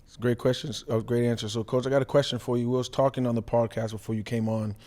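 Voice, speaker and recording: Man, sports co-host, rapid delivery, colloquial and emphatic, long room reverb. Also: mid-range voice, little pitch movement, a little mellow. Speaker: sports podcast co-host, former player. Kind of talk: casual football analysis.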